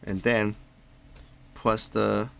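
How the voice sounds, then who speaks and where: A man narrating a step-by-step tutorial in a plain, instructional way, halting from phrase to phrase. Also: lowish voice, flat delivery, fairly bright tone. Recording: clearly noisy background.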